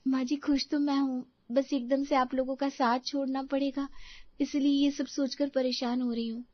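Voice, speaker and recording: A woman's voice: high voice, little pitch movement, normal speed, no echo, dull audio, close to an everyday voice. A woman playing a proud, sweet-talking young married daughter in a Hindi moral story cartoon. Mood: sweetly polite.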